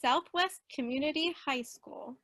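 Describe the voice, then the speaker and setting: Woman as bright, high-voiced eager teenage girl. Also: unhurried, no echo. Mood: nervous, flustered. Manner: earnest, pleading, eager. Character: earnest, eager eighteen-year-old girl, aspiring singer. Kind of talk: radio play acting.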